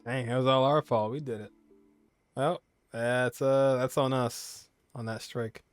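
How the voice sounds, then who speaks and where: A man's voice: medium pitch, steady tone, a little slow, clear, resonant tone, dry recording. Joking man doing casual game commentary. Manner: joking, reacts aloud to the dialogue. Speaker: video game streamer. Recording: faint game music behind. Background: game music.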